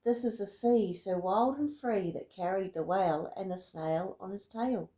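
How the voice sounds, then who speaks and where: A woman reading a rhyming picture book aloud in an expressive, deliberate storytelling manner. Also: medium pitch, reverberant. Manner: dramatic, deliberate storytelling with emphatic words. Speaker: storybook reader.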